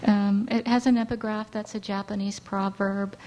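A woman poet raised speaking Hawaiian Pidgin English, giving a measured reading of her poems with halting asides between them. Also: mid-range voice, little pitch movement, mellow, rough recording. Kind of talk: poetry reading to an audience.

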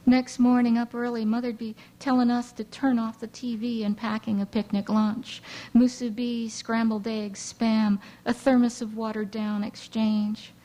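A woman poet raised speaking Hawaiian Pidgin English, giving a measured reading of her poems with halting asides between them. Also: on the high side, dark tone, poor audio. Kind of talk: poetry reading to an audience.

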